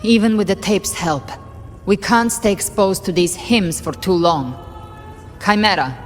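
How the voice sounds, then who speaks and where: A woman playing a solemn, knowing guide of lost souls in a fantasy game, speaking in a calm, measured way. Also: medium pitch, soft background music, natural voice. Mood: grave. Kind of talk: dramatic fantasy game acting.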